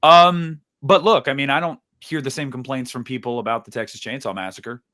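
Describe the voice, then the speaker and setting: Mid-pitched man in casual podcast discussion. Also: steady tone, a little fast, pushed and bright. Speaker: podcast co-host.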